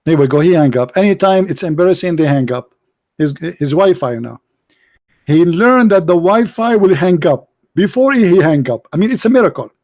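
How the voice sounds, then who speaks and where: A man with hosting a live-stream debate, mocking and interrupting with rapid repeated questions. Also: high-pitched, expressive, a little mellow, no room sound. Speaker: livestream host debating callers.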